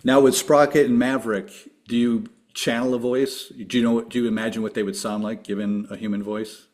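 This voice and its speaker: A man's voice: mid-range voice, little pitch movement, brisk, a little mellow. A man hosting a podcast, asking an upbeat string of numbered questions to his guest. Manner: upbeat, reads structured questions. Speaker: podcast host. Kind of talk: interview questions.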